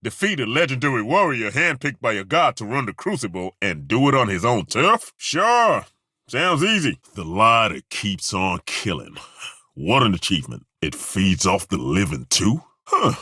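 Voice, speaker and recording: An actor playing a gruff, folksy middle-aged male dwarf fighter with a dry, wry, plainspoken way of talking, in video game voice acting. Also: lowish voice, big pitch swings, normal speed, bright, forceful tone, dry recording, full character voice. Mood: dry banter. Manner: dry, wry and plainspoken, with roaring battle cries. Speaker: party companion.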